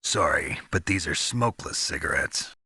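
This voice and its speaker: A man playing a gruff, hardened special forces soldier in a video game, terse and deadpan with a gravelly rasp. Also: a bass voice, moderate pace, no echo, clean studio sound, exaggerated voice. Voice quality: gravelly, rasping. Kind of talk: scripted game voice acting.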